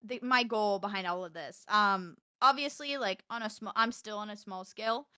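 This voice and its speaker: A young woman with a high, loud voice chatting casually as a podcast guest about her gaming life, outspoken and emphatic, swearing freely. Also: moderate pace, smooth. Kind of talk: casual chat, animated anecdotes.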